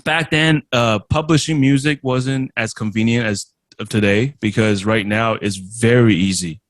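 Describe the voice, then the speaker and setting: A man from Taiwan whose first language is Chinese, a musician and streamer answering interview questions in a halting way, with many restarts and fillers. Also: medium pitch, no echo, studio quality. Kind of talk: casual interview.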